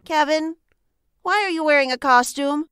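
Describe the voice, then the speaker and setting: High-voiced, earnest young superheroine. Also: female voice, normal speed, a bit bright, no room sound, clean studio sound. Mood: matter-of-fact. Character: small, tough, wounded superheroine, martial arts expert. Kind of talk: superhero comedy audio drama acting.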